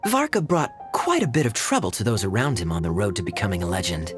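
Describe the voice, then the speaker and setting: A suave young knight from a video game, teasing and amused. He drawls with extremely animated intonation over faint background music. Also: on the low side, quick pace. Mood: amused, inviting. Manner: smooth-talking, teasing, drawling. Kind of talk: video game character acting.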